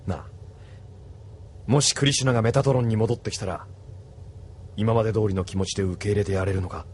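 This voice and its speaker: An actor playing a blunt, rough-spoken male pilot giving a friend frank advice. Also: lowish voice, fairly quick, a little mellow, a little hiss or hum, lightly acted. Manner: blunt, rough casual speech. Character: rough-talking adult male pilot comrade, blunt and worldly.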